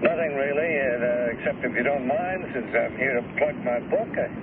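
A man's voice: mid-range voice, moderate pace, close to an everyday voice. A man playing a self-promoting science fiction author, chatting hesitantly in a radio drama. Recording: noisy, dull old radio broadcast.

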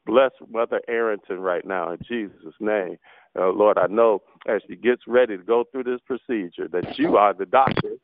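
A man's voice: fairly low pitch, moderate pace. A man leading an impassioned spoken prayer on a prayer line, repeating hallelujahs. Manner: impassioned, repetitive, frequent hallelujahs. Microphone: telephone. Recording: tinny phone line, dry.

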